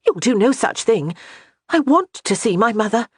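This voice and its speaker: A woman voicing a flustered young noble wife, rattled and bossy, in a husky voice. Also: sing-song, moderate pace, dry recording, studio quality. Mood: agitated. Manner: rattled, bossy, high-strung.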